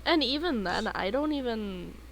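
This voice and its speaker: A woman's voice: fairly high pitch, expressive, normal speed, vocal fry, a bit bright, a little hiss or hum. A woman streaming a game and chatting casually, sleepy and yawning, who laughs often and swears casually. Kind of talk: casual live-stream chat.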